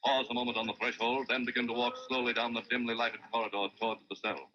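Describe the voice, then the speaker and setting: An actor playing a grave, urgent newsreel announcer, clipped and declamatory, narrating a 1930s radio news dramatization on an old recording. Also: male voice, mid-range voice, flat delivery, fast talker, muffled recording, slight background noise, close to an everyday voice. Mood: grave, dramatic.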